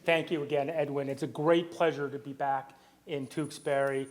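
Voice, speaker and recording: A man with a booming voice moderating a town candidates night, talking with many ums and restarts. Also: fairly even intonation, moderate pace. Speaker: debate moderator. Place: public meeting room.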